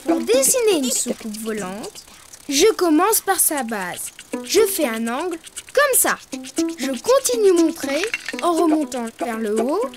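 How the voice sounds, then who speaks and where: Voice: sits high, very animated, measured pace. An actor voicing a cheerful, confident young child who gives encouraging drawing instructions in a children's cartoon. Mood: upbeat, instructive. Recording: music behind the voice.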